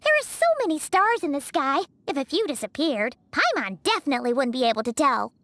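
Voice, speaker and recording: An actress playing a tiny, excitable fairy-like companion who talks about herself in the third person, bubbly and boastful. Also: female voice, a little fast, no room sound, full character voice. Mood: chatty. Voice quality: very high and chirpy. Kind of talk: video game voice acting.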